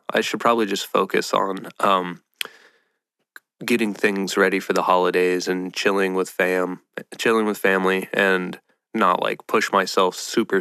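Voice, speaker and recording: Low, nasal man with a head cold. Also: creaky, sharp tone, smooth, no room sound, clean studio sound. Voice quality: nasal, congested. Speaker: podcast host. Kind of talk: casual solo podcast chat.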